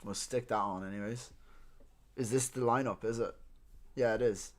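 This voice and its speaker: A man's voice: mid-range voice, steady tone, moderate pace. A man with a neutral Irish accent hosting a livestream, chatting informally with viewers and swearing freely as he reacts to news. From Ireland and several countries abroad. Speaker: livestream host. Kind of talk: casual livestream chat reacting to team news.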